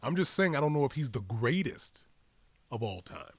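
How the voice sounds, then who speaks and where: A man's voice: mid-range voice, big pitch swings, moderate pace, husky, dry recording. A man bantering casually on a podcast, cutting in with short teasing rebuttals. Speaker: podcast co-host.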